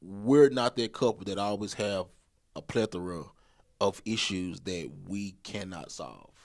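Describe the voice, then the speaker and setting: A man's voice: low voice, a little slow, darkish tone. A man in his thirties co-hosting a podcast, giving relationship advice in a rambling, colloquial way, often repeating himself and laughing. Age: 30s. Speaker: podcast co-host. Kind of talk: casual relationship advice talk.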